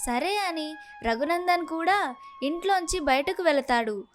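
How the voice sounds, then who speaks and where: Casting: female storyteller for kids' moral tales, high-pitched, scripted narration over faint music. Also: animated, unhurried.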